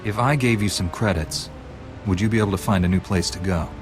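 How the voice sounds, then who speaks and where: An actor playing a composed, resolute knightly hero in a sci-fi video game, speaking in an earnest, measured way. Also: male voice, low-pitched, over music, lightly acted. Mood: calm. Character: steadfast, composed young male Jedi knight hero.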